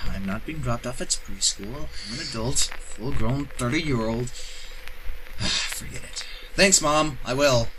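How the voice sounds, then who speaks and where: A man playing a hapless, bitter junior journalist who narrates his schemes in a dry, deadpan comic tone, over guitar music. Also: medium pitch, takes it slowly, a little hiss or hum, poor audio. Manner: dry, sardonic.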